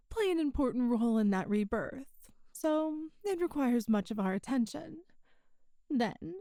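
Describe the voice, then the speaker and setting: A woman voicing an ancient female demon in an ASMR audio roleplay, tender, wise and regretful, speaking softly and intimately with sighs and hesitations. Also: sits high, no echo, clean studio sound. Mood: explanatory, hesitant. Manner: soft, hesitant, intimate, sighing.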